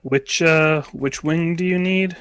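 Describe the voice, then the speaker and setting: A man's voice: fairly high pitch, takes it slowly, a bit bright, a little hiss or hum. A man taking part in a casual livestream chat over a group video call. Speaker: livestream participant.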